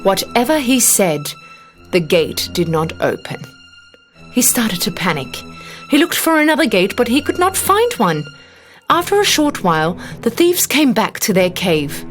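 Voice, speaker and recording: A woman reading a scripted children's fairy tale as an expressive storybook narrator. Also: fairly high pitch, unhurried, faint music behind.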